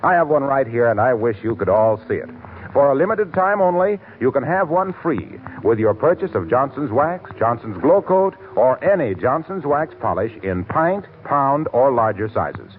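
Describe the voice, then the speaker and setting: A man's voice: mid-range voice, very animated, moderate pace, a little hiss or hum. An American man delivering a 1940s radio announcement in a selling style. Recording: narrow-band 1940s radio, music behind.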